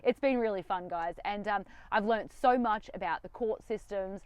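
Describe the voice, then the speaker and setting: A woman's voice: medium pitch, normal speed, clean tone, no echo. Defiant young woman, dry close sound. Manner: defiant, animated, sarcastic. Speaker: vlogger. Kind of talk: casual direct-to-camera talk. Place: inside a van.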